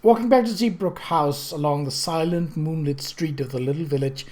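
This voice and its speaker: Higher-voiced man reading a story. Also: moderate pace, darkish tone. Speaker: audiobook reader. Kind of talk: scripted story narration.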